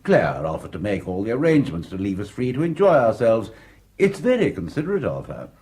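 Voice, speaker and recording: A suave, evasive host. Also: male voice, on the low side, wildly swooping pitch, fairly quick, a little mellow. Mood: genial. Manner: suave, smooth, patronizing. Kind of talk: radio drama acting.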